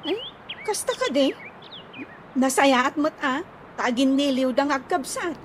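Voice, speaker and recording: Very high-pitched fretful little sister. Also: female voice, animated, a little slow. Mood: curious. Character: young, fretful younger sister, gossiping about father. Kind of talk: Ilocano comedy radio drama acting. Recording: clearly noisy ambience behind.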